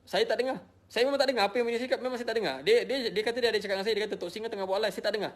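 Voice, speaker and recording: A man's voice: sits high, fairly even intonation, very fast. A middle-aged man from Malaysia with a Malaysian Malay accent, tired, defensively explaining himself to followers and repeating his points. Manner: emotional, repetitive, defensive. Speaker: social media personality. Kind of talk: personal explanation to followers, casual.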